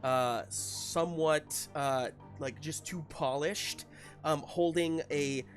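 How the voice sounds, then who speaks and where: Higher-voiced male game master over music. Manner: halting, searching for words in pieces. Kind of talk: improvised narration of a tabletop game.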